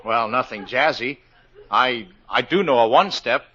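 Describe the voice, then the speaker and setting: A man's voice: medium pitch, moderate pace, pushed and bright, breathy edge. An actor playing a bashful, boyish young man who misses his sweetheart and bursts into song, in a 1940s radio musical. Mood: bashful, joking. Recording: muffled 1940s radio broadcast. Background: studio orchestra.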